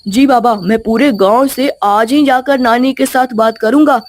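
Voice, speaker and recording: A woman's voice: a little high, a little slow, slight background noise, pitched above the performer's own voice, lightly acted. A woman voicing an earnest, brave young village boy in a storybook tale, polite but insistent and pleading.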